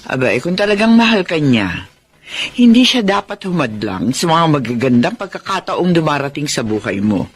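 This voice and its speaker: An actress playing a caring, excitable older mother in a radio drama, with a Filipino accent. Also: deep voice, normal speed. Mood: concerned, reassuring.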